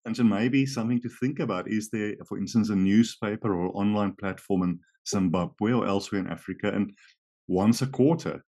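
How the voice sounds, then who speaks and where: A man's voice: on the low side, brisk, dark tone. A middle-aged man, a native Afrikaans speaker, hosting an interview in a chatty, friendly way. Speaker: interview host. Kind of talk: conversational interview.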